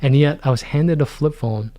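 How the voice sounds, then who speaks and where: A man's voice: on the high side, moderate pace, warm tone. A man in his forties, co-hosting a podcast, chatting casually about films and thinking aloud with lots of fillers. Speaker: podcast co-host. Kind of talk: casual film-discussion chat.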